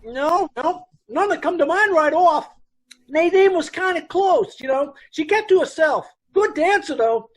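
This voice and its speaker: Man acting high-pitched, brusque, folksy dance hall boss. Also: expressive, a little slow. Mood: chatty. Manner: folksy, ungrammatical, blunt speech. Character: brusque, chatty male dance hall boss, unpolished and informal. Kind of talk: 1950s radio police drama acting.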